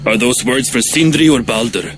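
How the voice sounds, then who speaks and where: An actor playing a blunt, grumbling, proud dwarf craftsman in a video game cutscene, gruff and grudging in manner. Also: mid-range voice, normal speed, noisy background, natural voice. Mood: awkward, grudging.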